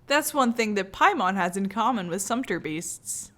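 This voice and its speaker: A woman's voice: mid-range voice, lively intonation, normal speed, pushed and bright. A woman doing let's play commentary, reading out dialogue and reacting to a game. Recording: faint game music behind the voice.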